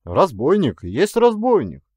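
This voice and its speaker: Male reader as blustering river bargeman, high agitated voice, scolding, grumbling, very animated, audiobook character. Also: measured pace, no echo, studio quality, heavily acted. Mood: indignant complaining. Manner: shouting, scolding, excitable. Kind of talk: theatrical audiobook character acting.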